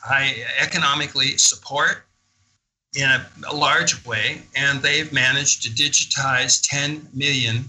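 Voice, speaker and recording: A man's voice: mid-range voice, fairly even intonation, measured pace, sharp tone, rough recording. An older man talking as a guest in a radio interview, telling of his experiences in an enthusiastic, emphatic, conversational way.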